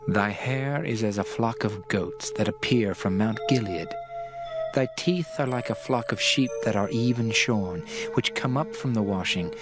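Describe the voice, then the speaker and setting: A man playing an ardent, adoring bridegroom in a dramatized scripture reading, solemn, tender and declamatory. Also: fairly low pitch. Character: adoring male lover and bridegroom, poetic and ardent. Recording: music under the voice.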